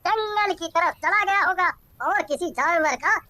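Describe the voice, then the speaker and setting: A woman's voice: very high voice, measured pace, bright, forceful tone, a little hiss or hum, exaggerated voice. A woman voicing an anxious, indignant villager who speaks in questions and complaints in a cartoon story. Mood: dismissive.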